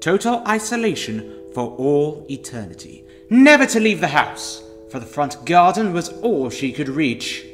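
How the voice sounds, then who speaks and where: A man plays a wry storyteller of ghostly tales, theatrical and very lively in pitch. Music plays clearly behind his audio drama narration. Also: a little slow, hardly any pauses.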